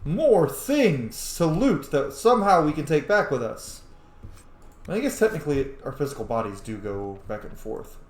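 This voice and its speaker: A man's voice: fairly high pitch, very animated, normal speed. A man doing casual, profane commentary as he plays a fantasy roleplay game, reacting aloud to what happens. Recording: roomy, faint background noise.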